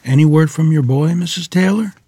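A man's voice: fairly high pitch, fairly quick, husky, dry recording. A man playing a courteous, devout rural piano tuner in a period table read, deferential and folksy. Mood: gentle, somber. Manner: deferential, folksy, gently persuasive.

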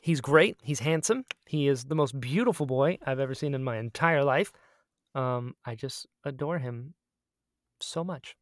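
A woman's voice: a bass voice, moderate pace, no room sound, clean studio sound. A woman podcast host chatting casually, playful and self-deprecating in her banter.

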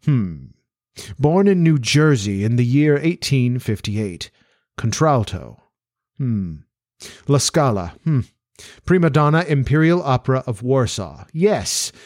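A man's voice: mid-range voice, lively intonation, slow pace, darkish tone, dry recording. A man voicing a cool, languid, incisive detective in a storybook, with a dry, clipped, assured delivery. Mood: brisk, musing.

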